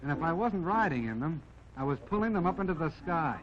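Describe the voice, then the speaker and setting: Dull 1940s film soundtrack, music behind, higher-pitched modest army jeep, folksy wry storyteller, educational film narration. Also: male voice, very animated, brisk. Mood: earnest, wondering. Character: army jeep telling its life story, modest, plucky, self-doubting.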